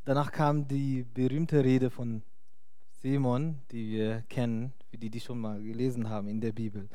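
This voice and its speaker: A man's voice: medium pitch, fairly even intonation, normal speed, warm tone, dry recording. Chatty non-native German-speaking male preacher. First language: not German. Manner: chatty and hesitant, many fillers, laughs often. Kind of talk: informal sermon.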